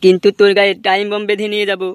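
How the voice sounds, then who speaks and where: Man as a rapid, scornful cartoon henchman. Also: sits high, completely flat delivery, smooth, dry recording, studio quality, lightly acted. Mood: taunting. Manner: taunting, brusque. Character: scornful, brusque male henchman to a boss. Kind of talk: amateur comedy cartoon dubbing.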